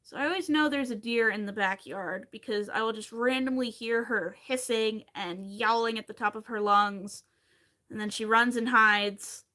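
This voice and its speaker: A woman from Pennsylvania, somewhere in her thirties, hosting a crochet livestream. Her voice is bright and a little high, and she talks in a loose, rambling way with wry asides as she answers viewers between stitches. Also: normal speed. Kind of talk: casual chat while crocheting on a livestream.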